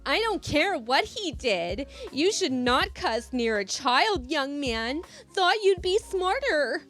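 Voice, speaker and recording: A woman voicing an indignant, haughty mother from a read-aloud story, drawn-out and self-righteous, in a mixed put-on Midwest and Brooklyn American accent. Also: sits high, sing-song, fairly bright tone, soft background music, voice pushed up, full character voice. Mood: scolding.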